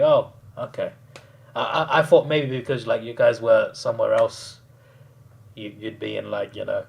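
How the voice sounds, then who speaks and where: A man chatting casually on a live stream, hoarse, rambling and laughing often. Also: on the low side.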